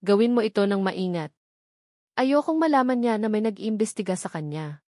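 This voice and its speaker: A woman's voice: mid-range voice, expressive, normal speed, warm tone, no echo, close to an everyday voice. Story role: grave, controlled Filipino tycoon haunted by suspicion, read by a woman, dry sound. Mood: grave, commanding. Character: serious, insistent wealthy man haunted by suspicion.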